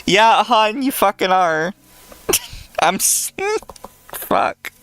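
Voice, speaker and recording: A man's voice: high-pitched, drawn-out words, a bit bright. A man co-hosting a casual podcast, talking bluntly and sarcastically and swearing freely. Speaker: podcast co-host.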